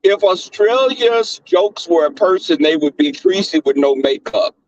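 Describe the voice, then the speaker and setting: A man with a Southern accent hosting a live stream in casual chat, crass and flamboyant, often leaping into high squeals. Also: sing-song, unhurried, no room sound. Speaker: livestream host. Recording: dull lo-fi stream audio.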